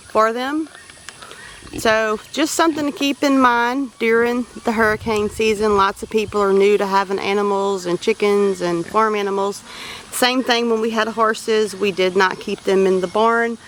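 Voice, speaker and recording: A woman's voice: mid-range voice, background noise. Folksy Southern woman on a farm. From Louisiana. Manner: down-to-earth, folksy, practical. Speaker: homesteader vlogger. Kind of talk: casual walking vlog. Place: outdoors on a farm.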